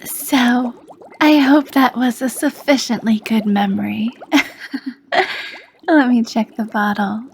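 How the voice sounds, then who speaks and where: A woman voicing a charming, sly female potion master as a shopkeeper in an ASMR roleplay, speaking softly and flirtatiously and often dropping into whispers. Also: fairly high pitch, slow, long pauses, slight background noise. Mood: soft flirty. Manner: soft, flirty, drifts into whispers.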